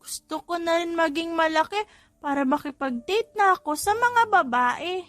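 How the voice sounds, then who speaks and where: A woman's voice: extremely high pitch, moderate pace, a bit bright, pitched above the performer's own voice. A woman voicing a mischievous, boastful little boy with a childlike manner in an audiobook story. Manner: childlike, polite pleading to mother. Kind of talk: audiobook character voice in a family romance story.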